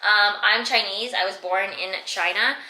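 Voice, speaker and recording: A woman's voice: medium pitch, a little slow, pushed and bright, roomy sound, poor audio. A woman of twenty-five from Canada, chatting casually through a fitness Q and A with lots of filler words. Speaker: fitness vlogger. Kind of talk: casual Q and A chat. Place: her room.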